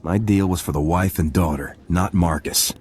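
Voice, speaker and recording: A man voicing a stoic, dutiful soldier in a video game, measured and terse. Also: sits low, a little mellow, slight background noise, close to an everyday voice. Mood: firm. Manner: measured, terse, matter-of-fact.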